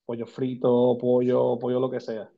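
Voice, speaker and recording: A man's voice: medium pitch, monotone, measured pace, gravelly. Puerto rican man, 40s, interview guest, switches languages, slightly dull audio. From Puerto Rico. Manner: switches between Spanish and English, many fillers. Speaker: guest, basketball agent. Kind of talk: casual interview talk.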